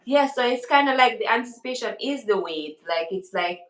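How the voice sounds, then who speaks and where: A young woman chatting casually as a podcast co-host, with an animated, emphatic and playful manner. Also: a little high, brisk. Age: young adult. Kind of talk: casual chat. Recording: echoey room.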